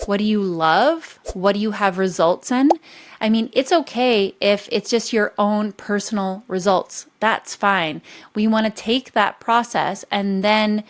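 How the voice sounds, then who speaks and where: A woman's voice: mid-range voice, measured pace. An emphatic woman coach delivers a motivational business class in an ordinary mid-range voice. She is direct and persuasive and speaks straight to her viewers. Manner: emphatic, direct, addresses audience as guys. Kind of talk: motivational masterclass teaching.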